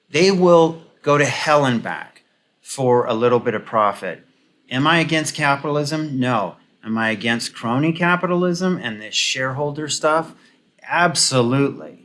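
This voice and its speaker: A man hosting an off-the-cuff political commentary video, giving opinions in a halting, rambling, casual way. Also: mid-range voice, a bit bright.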